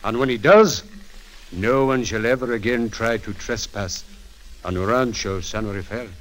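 A man plays an elderly rancher father with a fairly low voice. He speaks gravely and earnestly, a dignified man of peace in vintage radio drama style. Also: a little slow, lightly acted, poor audio. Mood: resolute. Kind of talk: 1940s radio acting. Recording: 1940s radio broadcast recording.

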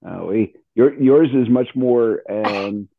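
A man's voice: lowish voice, takes it slowly, gravelly, dry recording. A man chatting casually in a conversational, chuckling manner, like a radio show host. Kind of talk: casual chat. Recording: narrow phone-like radio line.

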